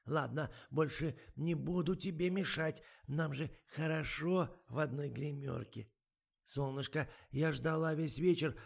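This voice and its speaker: A man voicing a frail, half-deaf elderly actress with a cracked, wheezy, high quavering voice, fussing and doting. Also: gravelly. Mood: pleading.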